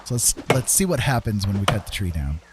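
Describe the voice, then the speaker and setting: A man with a fairly low voice gives casual commentary as he plays a game. He thinks out loud in a conversational way, often ending on a questioning tag. There is clear noise behind him. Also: big pitch swings, fairly quick. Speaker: game streamer.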